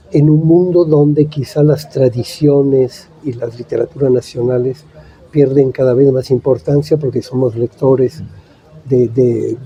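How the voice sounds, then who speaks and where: Very dark-voiced older man, reflective. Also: mid-range voice, highs cut off. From Italy. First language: Italian. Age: 70s. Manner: thoughtful, measured, pauses with frequent "eh". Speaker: guest writer. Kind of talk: reflective interview answers.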